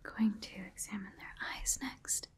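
A woman in her twenties in an ASMR exam roleplay as a scientist, speaking softly and clinically, close to the microphone. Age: 20s. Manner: soft, clinical, deliberate phrasing. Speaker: ASMR performer playing a scientist.

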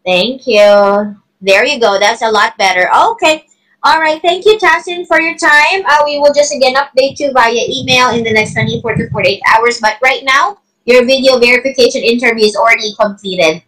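A woman with a slightly high voice conducts a verification interview over a video call. She speaks in the polite, scripted manner of customer service. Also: normal speed, a bit bright, rough recording. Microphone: remote voice on a video call.

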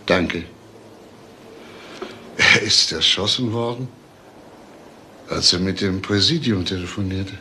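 A man's voice: low voice, slow, pauses often, sharp tone, slight background noise, heavily acted. An actor playing a male homicide detective in a German crime radio play, questioning in a clipped, businesslike way. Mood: businesslike, urgent. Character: brisk, probing male police homicide detective.

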